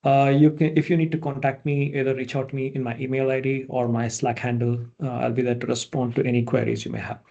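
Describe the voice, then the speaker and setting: A man from India, a product manager, giving a relaxed online presentation over a video call, with many fillers and restarts. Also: medium pitch, quick pace, creaky, few pauses, muffled recording.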